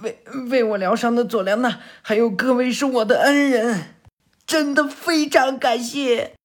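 A man's voice: very high-pitched, unhurried, pitched above the performer's own voice. A man voicing a timid young male henchman who stammers and pleads, close to tears. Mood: tearful.

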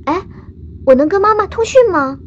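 A woman's voice: very high voice, expressive, normal speed. A woman playing a naive, affectionate young girl stowaway in a radio drama, childlike and coaxing, in slightly muffled audio. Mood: wistful. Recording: dull muffled audio with faint noise.

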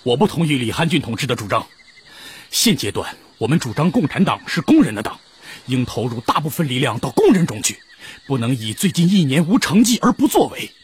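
A man's voice: medium pitch, sing-song, fairly quick, slight background noise, close to an everyday voice. An actor playing a firm, principled male communist delegate who debates assertively in a historical radio drama. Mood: firm, argumentative.

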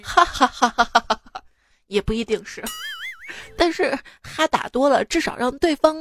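A woman's voice: high voice, animated, moderate pace, slight background noise. A young adult woman hosting a radio joke show, reading quips in a playful, teasing way and laughing at her own lines. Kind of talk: reading jokes with laughs, casual radio talk. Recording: music bed under the voice. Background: background music.

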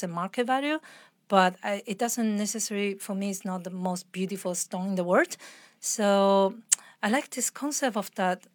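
Woman w/ mixed international accent chatting in an interview, earnest n reflective, dry sound no room echo. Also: medium pitch. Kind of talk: conversational interview.

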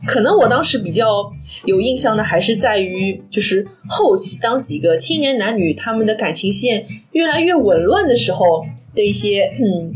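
A woman's voice: mid-range voice, animated, moderate pace, few pauses, breathy edge. A young woman from Shanghai co-hosting a podcast, talking casually and reflectively about films in a chatty storytelling way. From Shanghai, China. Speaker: podcast co-host. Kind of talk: casual film discussion. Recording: music playing behind the voice.

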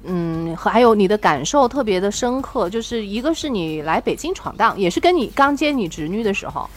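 A woman's voice: mid-range voice, lively intonation, a little fast. A woman co-hosting a podcast in casual, earnest conversation. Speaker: podcast co-host. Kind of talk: casual chat.

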